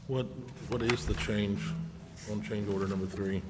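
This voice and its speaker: A man's voice: lowish voice, steady tone, moderate pace. A man, a council member, asking questions from a distance in an echoing, noisy meeting room. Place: indoor council chamber.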